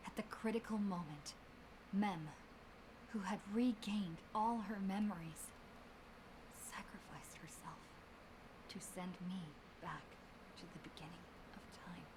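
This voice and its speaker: A woman playing a solemn young game heroine, recounting an epic saga in measured, dramatic scripted narration. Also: lowish voice, noisy background, lightly acted. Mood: grave. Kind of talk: scripted story recap narration.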